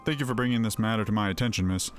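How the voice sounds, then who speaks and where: A man voicing a formal, exacting knight officer in a fantasy game, speaking in a measured, officious way. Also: lowish voice, lightly acted. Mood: stern. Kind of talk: voiced reading of fantasy game dialogue. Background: music.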